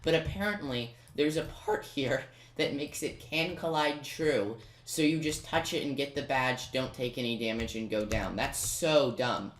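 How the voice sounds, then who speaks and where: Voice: medium pitch, a little slow, hardly any pauses. Casual gameplay guide narrator, mid-range voice, speaks straight to the viewer, echoey room sound. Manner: informal, talks directly to the viewer. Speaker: video game commentator.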